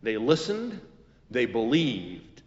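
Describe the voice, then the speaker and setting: A man preaching a scripted sermon as a pastor, with measured, emphatic phrasing and deliberate pauses. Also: medium pitch, very animated. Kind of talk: scripted expository sermon with quotations. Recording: muffled recording in a reverberant hall.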